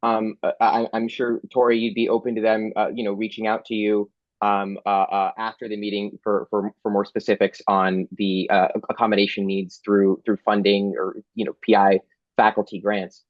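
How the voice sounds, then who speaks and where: A man's voice: fairly low pitch, flat delivery, moderate pace, no room sound, muffled recording. A man moderating a panel, thanking speakers with many hesitations and restarts.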